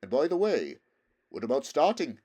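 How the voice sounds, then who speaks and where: A man's voice: mid-range voice, big pitch swings, normal speed, warm tone, slightly rough, pitched above the performer's own voice. A man voicing a proud, worldly talking horse in a storybook, with a pompous, emphatic, lecturing manner. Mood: confident. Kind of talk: storybook character voice.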